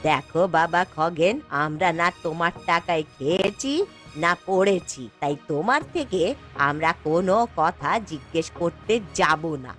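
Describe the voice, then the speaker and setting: A woman's voice: on the low side, measured pace, fairly bright tone. Woman acting feisty, plaintive old village granny. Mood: defiant. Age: older. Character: poor, feisty old village grandmother, indignant and proud. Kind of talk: Bengali fairy-tale cartoon voice acting. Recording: background music throughout.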